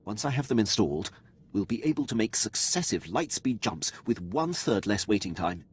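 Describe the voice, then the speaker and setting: An actor playing a dutiful, precise Imperial officer in a video game, speaking formally and deferentially. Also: male voice, on the low side, sing-song, normal speed, slightly rough, heavily acted. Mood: composed, pleased.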